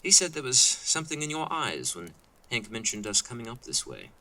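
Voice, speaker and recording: Bright-voiced, earnest young college man, acted. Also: medium pitch, big pitch swings, brisk, exaggerated voice. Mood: uneasy. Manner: chatty, hesitant, musing. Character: earnest, talkative young male college student camper. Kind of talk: audio drama dialogue.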